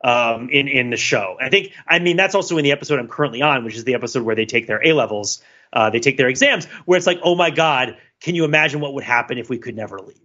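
A man's voice: medium pitch, animated, fast, pushed and bright, highs cut off. A man co-hosting a podcast discussion, talking casually with many fillers, restarts and little laughs. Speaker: podcast co-host.

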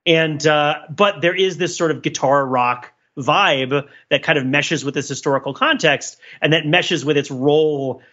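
A bright, forceful man with a slightly high voice, talking casually as a podcast panelist. He digresses freely in wry, animated analysis. The sound is a little dull and lo-fi. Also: a little slow, hardly any pauses. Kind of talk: casual discussion, rambling pop culture analysis.

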